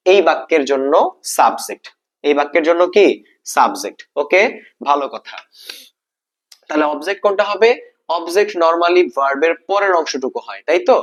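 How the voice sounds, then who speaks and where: A man with a Bangladeshi accent teaching an exam-prep lesson, explaining step by step, repeating points and asking check questions. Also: fairly high pitch. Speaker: English grammar teacher.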